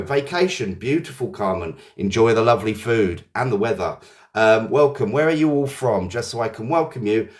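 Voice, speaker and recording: A man with an English accent hosting a live English lesson, cheerfully greeting viewers by name as they join. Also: mid-range voice. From England. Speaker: English teacher.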